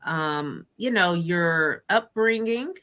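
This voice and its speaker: A woman's voice: fairly low pitch, expressive, takes it slowly, a bit bright. A woman hosting a casual talk show, asking questions in an informal, encouraging, chatty way. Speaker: talk show host, interviewer.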